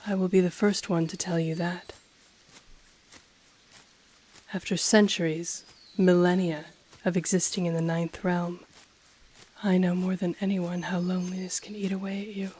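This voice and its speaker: A woman voicing an ancient dragon familiar, possessive, teasing and tender, speaking softly and formally in an intimate ASMR roleplay. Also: on the low side. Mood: sincere, tender. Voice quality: soft, breathy, often whispering. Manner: slow, formal, teasing, purring delivery.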